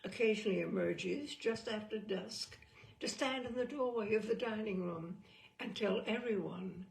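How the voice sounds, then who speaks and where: A woman reading a darkly comic story in a theatrical, deliberate, dramatic manner. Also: medium pitch, creaky, roomy sound, poor audio. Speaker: storyteller, reader.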